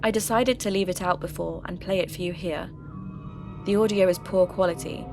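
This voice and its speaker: A woman playing a sceptical, intrigued local radio host who investigates ghost stories in measured, scripted podcast narration. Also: on the low side, fairly even intonation, natural voice. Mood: intrigued, uneasy. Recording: music bed under voice.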